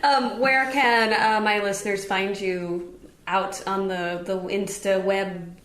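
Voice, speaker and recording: A woman's voice: medium pitch, fairly even intonation, slow, fairly bright tone. A woman hosting a casual interview, informal and enthusiastic in the way she talks. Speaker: interviewer, podcast host. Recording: echoey room with faint noise.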